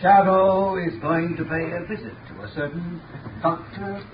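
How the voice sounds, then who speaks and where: An actor playing a calm, gallant gentleman detective who talks in a soothing, assured way, in 1930s radio acting. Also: high voice, a little slow, dull audio, heavy background noise. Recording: echoey 1930s radio recording from far off.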